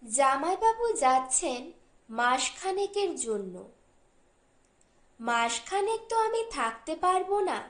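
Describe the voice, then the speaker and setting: High-pitched woman narrating slowly, roomy sound. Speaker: audiobook narrator. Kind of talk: scripted short story narration.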